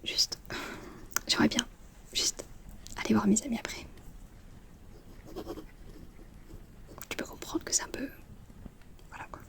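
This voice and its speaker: A woman plays a teenage wizard-school student in an ASMR roleplay. She whispers softly in a fairly high, breathy voice. She is friendly and chatty, a little distracted, with casual, hesitant slang. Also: normal speed.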